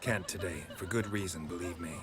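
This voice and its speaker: A man playing a stoic, dry-humored monster hunter in a fantasy game, terse and deadpan. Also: low-pitched, fairly quick, over music, lightly acted. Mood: dry, unhurried. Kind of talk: cinematic fantasy game acting.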